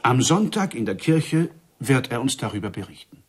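A man's voice: mid-range voice, very animated, normal speed, husky. An actor playing a village man who earnestly relays news as a storyteller in a radio play. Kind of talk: German radio play acting.

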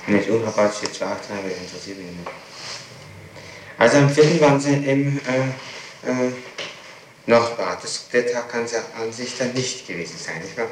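A man's voice: mid-range voice, moderate pace. A man questioning someone in a recorded interrogation, probing and pressing for dates and details, on an old tape. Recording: echoey, noisy 1960s tape recording.

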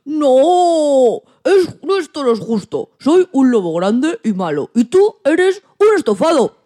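A woman acts out a grumpy old storybook wolf with a mellow, dark timbre. He is always hungry, exclaims theatrically and hides a soft heart. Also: high voice, very animated, takes it slowly, exaggerated voice. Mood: dismayed. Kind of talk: children's story radio play.

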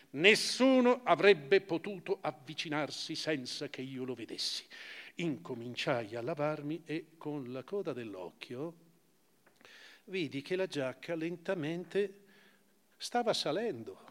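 A man giving a measured, expressive theatrical recitation of first-person literary prose, with deliberate pauses. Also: fairly high pitch, long reverb tail. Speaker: actor reading literary prose. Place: theatre or hall, live performance.

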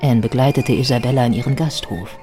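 Composed biographer-narrator, music behind. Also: female voice, rumbling low, warm tone. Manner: measured, matter-of-fact. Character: composed, factual female biographer narrating a life story. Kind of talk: scripted radio play narration. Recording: studio radio play with music behind.

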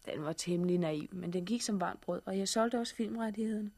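A woman plays a wry young female survivor in 1960s radio drama. Her voice is husky and breathy at a middling pitch. She talks in a frank, conversational way. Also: a little mellow, natural voice. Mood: candid, wry.

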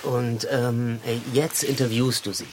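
Ein Schauspieler spielt in einem Hörspiel einen unbeholfenen, verliebten Mann, der eifrig und stockend spricht. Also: male voice, mid-range voice, flat delivery, natural voice. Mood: infatuated, eager. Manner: hesitant, eager, halting. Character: awkward, infatuated man working for an aid organisation. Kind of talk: naturalistic radio drama dialogue. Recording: clear background noise.

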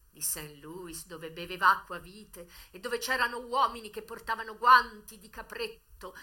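A woman giving an expressive, theatrical first-person narration of a memoir, moving between hushed and emphatic delivery. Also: fairly low pitch.

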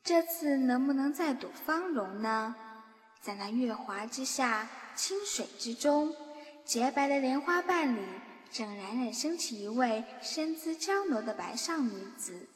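A woman's voice: sits high, animated, unhurried. A woman reading scripted narration for a fantasy radio drama. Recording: long reverb, music underneath. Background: background music.

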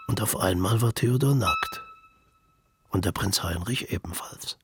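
A man with a creaky, gravelly voice, narrating a literary radio play. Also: lowish voice, wildly swooping pitch, unhurried, loud music behind the voice.

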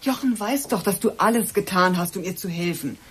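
An actress in a German radio play voicing an anxious, well-meaning friend, pleading and conciliatory, with a husky voice. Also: female voice, medium pitch, fairly quick. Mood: soothing.

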